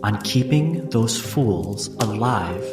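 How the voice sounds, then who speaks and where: Man voicing an imperious, measured noble matriarch over music. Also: fairly low pitch, expressive, roomy sound, distant mic. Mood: cold, threatening. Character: proper, confident noble matriarch, coldly threatening.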